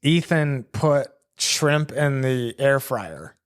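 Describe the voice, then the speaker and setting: A man's voice: mid-range voice, animated, slow, smooth. An American man co-hosting a podcast in a casual, conversational style. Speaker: podcast co-host. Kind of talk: casual chat.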